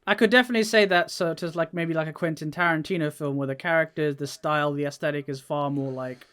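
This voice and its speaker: Higher-pitched man chatting casually. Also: fairly even intonation, fast, fairly bright tone, smooth. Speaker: podcast host. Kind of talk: casual gaming podcast discussion.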